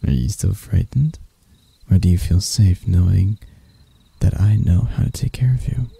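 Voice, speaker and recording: A man voicing a deranged, seductive spider vampire in an intimate ASMR roleplay, soft and breathy, teasing and theatrical, doting yet menacing. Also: low-pitched, heavily acted. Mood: tender, possessive. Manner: slow, teasing, theatrical, with dark chuckles.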